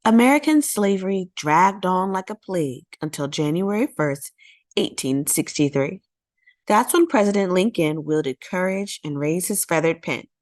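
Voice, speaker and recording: A woman's voice: lowish voice, lively intonation, moderate pace, no echo, clean studio sound. A woman narrating a children's storybook in a dramatic, emphatic read-aloud style, drawing out key words.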